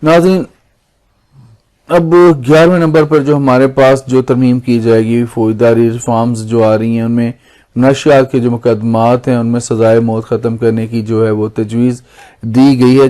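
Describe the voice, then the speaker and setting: A Pakistani man presents like a lawyer walking viewers through a lesson. His voice is mid-pitched and quite mellow, with very little melodic movement. He keeps a formal manner and often rephrases what he has just said. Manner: formal, repeats and rephrases points. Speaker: lawyer presenting legal explainer videos. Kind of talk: explanatory lesson, semi-scripted.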